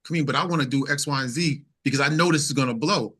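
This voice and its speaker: Bright-voiced man, emphatic interview guest. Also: medium pitch, fast talker, breathy edge. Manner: emphatic, repeats phrases as he builds points. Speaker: interview guest, singer-songwriter. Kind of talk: casual interview conversation.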